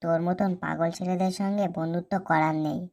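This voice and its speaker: A woman's voice: lowish voice, steady tone, a little fast, creaky. A woman speaking dialogue for a comedy cartoon. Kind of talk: cartoon comedy dialogue voicing several characters. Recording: loud music behind the dialogue.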